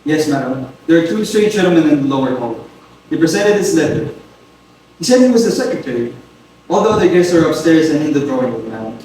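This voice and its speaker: A man's voice: on the high side, very animated, brisk, darkish tone. Man playing a deferential butler, very echoey room. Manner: formal, deferential reporting. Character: deferential household butler, polite and dutiful. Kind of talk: amateur dramatic reading of a comic stage play. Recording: very echoey room, distant mic, faint noise.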